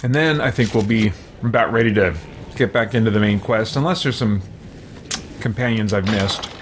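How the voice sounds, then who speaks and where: A man's voice: lowish voice, normal speed, background noise. A man in his thirties giving let's play commentary on a game and role-playing his replies. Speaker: game streamer.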